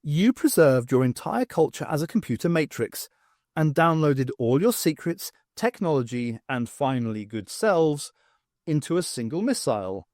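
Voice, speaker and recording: A man voicing a brisk, confident woman adventurer in an audiobook, wry and emphatic. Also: fairly high pitch, very animated, darkish tone, no echo, studio quality. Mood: probing, confident. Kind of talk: audiobook character voice.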